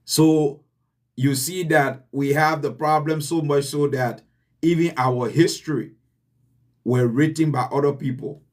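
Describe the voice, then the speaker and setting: Slow, earnest Liberian man. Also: fairly high pitch, steady tone. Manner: earnest and deliberate, with repeated emphasis. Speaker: channel host. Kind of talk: motivational address.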